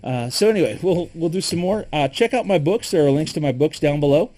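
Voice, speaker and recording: Middle-aged man, Michigan accent, warm mellow tone, relaxed matter-of-fact gear talk with frequent fillers. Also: fairly high pitch, a little fast. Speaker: preparedness gear reviewer. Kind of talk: casual gear demonstration.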